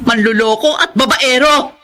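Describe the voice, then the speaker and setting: Shrill, jealous Filipina wife. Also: female voice, normal speed, a bit bright. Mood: bitter, furious. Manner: scornful, confrontational. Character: jealous, combative betrayed wife, quick to accuse. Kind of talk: melodramatic radio drama acting.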